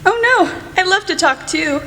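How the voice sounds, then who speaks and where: Young woman acting an outspoken chatty girl in a radio play, high voice, gushy, big echoey hall. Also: expressive, moderate pace, sharp tone, exaggerated voice. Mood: excited, gushing. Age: teenager or young adult. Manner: gushing, rambling, blunt. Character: outspoken, chatty, excitable tomboyish teenage girl. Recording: echoey hall, faint noise.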